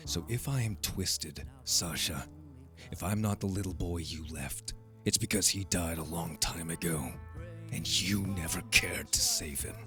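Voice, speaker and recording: A man's voice: low voice, unhurried, sharp tone, faint music behind. A man playing a proud, wounded young vampire hardened into bitterness, with intense, accusing delivery in an audio drama. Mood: bitter, hurt. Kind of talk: dramatic audio roleplay acting.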